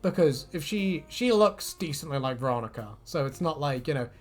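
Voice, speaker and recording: A man's voice: fairly high pitch, expressive, a little fast, faint music behind. A man hosting a casual fan discussion, chatting in a rambling, sweary way. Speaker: video host.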